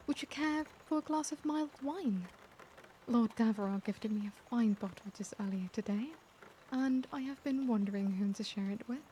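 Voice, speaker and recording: A woman voicing an elegant, caring vampire lady in a soft-spoken ASMR roleplay, speaking gently with formal, old-world courtesy. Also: a little high, a little hiss or hum, close to an everyday voice. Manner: genteel, gentle, doting, formal wording.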